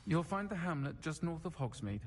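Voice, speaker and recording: An actress voicing a kindly, concerned middle-aged pub keeper in a video game, speaking in a gentle, measured, confiding way. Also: female voice, low-pitched, expressive. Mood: earnest.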